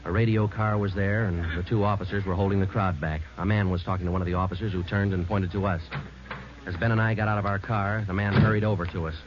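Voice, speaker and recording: An actor playing a terse, weary homicide sergeant in a radio police drama, clipped and low-key. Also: male voice, steady tone, quick pace, natural voice. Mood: grim, flat. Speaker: narrator and lead actor. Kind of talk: 1940s-50s radio police drama acting. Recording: muffled, noisy old radio recording.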